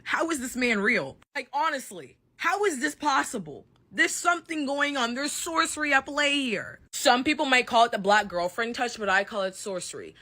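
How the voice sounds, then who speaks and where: A woman's voice: on the high side, pushed and bright. A woman giving casual pop-culture commentary in an emphatic, exaggerating, sweary manner.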